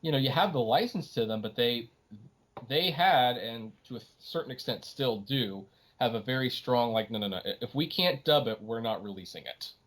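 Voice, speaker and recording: A man's voice: mid-range voice, lively intonation, moderate pace, a bit bright. A man hosting a podcast, giving his opinions casually and emphatically, often acting out what other people say. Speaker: podcast host.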